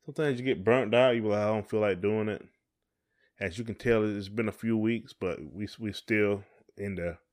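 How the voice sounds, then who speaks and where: A man's voice: fairly low pitch, fairly even intonation, normal speed, a little mellow. A middle-aged man, chatting casually as a podcast co-host in a folksy, encouraging way.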